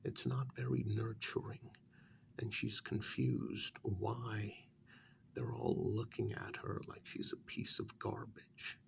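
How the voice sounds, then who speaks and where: A man acts as a calm vampire mediator chiding a prisoner in a soft ASMR role-play. His low, half-whispered male voice is full of gravelly fry and moves very slowly, with measured pauses. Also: a little hiss or hum, full character voice. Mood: quietly reproachful.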